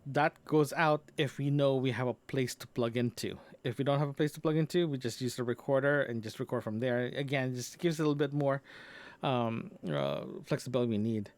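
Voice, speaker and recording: A man's voice: mid-range voice, fairly quick, no echo. Rambling man on a dry close mic. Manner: rambling, with frequent restarts and fillers. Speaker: podcast host. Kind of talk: casual solo audio log. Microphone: dynamic microphone into portable recorder.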